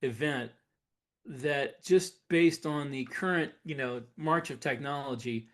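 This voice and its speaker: A man co-hosting a podcast, explaining things in a casual, measured and somewhat halting way as he discusses technology. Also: medium pitch. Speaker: podcast co-host. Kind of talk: casual speculative tech discussion.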